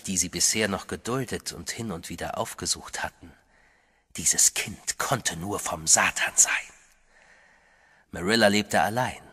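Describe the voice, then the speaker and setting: A vampire master who commands an army of the undead. The voice is low and husky yet bright, measured and cold, dropping to a whisper for threats. Soft music plays beneath. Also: male voice, full character voice. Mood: cryptic. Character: sinister male vampire master, calm and menacing. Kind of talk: German radio horror drama.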